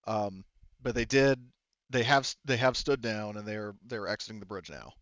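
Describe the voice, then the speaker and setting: A man narrating a tabletop game as game master, improvising in a halting, hesitant, rambling way. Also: fairly low pitch, fairly bright tone, dry recording, lo-fi sound.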